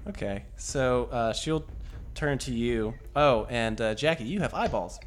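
A man's voice: mid-range voice, expressive, a little slow, slight background noise. A man narrating as game master in a casual, rambling way with lots of fillers and laughs.

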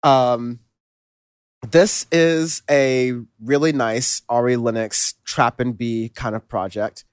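A man hosting a music podcast in casual chat, relaxed and rambling with lots of fillers and restarts. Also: medium pitch, slow, clean tone, no room sound, studio quality. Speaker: podcast host.

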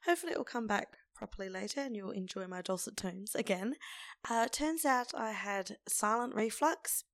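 A woman hosting a casual solo podcast in an informal, conversational way, with a croaky, hoarse voice. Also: mid-range voice, animated, normal speed, no echo, studio quality. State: lingering hoarseness after laryngitis. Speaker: podcast host.

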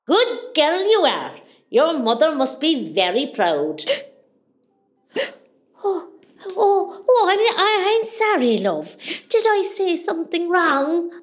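A woman acting a nosy, warm-hearted older Irish mother from West Cork in a radio play, chatty and exclamatory. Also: very high voice, huge pitch swings, takes it slowly, full character voice. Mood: chatty, curious.

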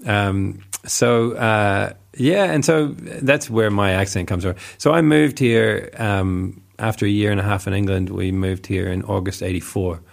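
A man's voice: lowish voice, unhurried, vocal fry, hardly any pauses. A man in his forties from New Zealand with an accent mixing New Zealand, Northern Irish and American traces, telling stories as an interview guest in a chatty, self-deprecating way with frequent fillers. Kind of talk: casual conversational storytelling.

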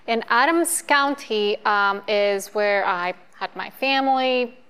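A woman from Colombia speaks in a community TV interview about her volunteer work. Her voice is smooth and clear, and her delivery is earnest and measured. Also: mid-range voice, fairly bright tone. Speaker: community organization board member.

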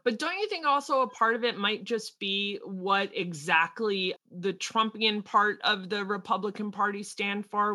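A woman co-hosts a casual podcast, her voice bright and a little tense. She talks fast and animated, breaking into laughs and quick asides. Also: medium pitch. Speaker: podcast co-host. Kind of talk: casual political chat.

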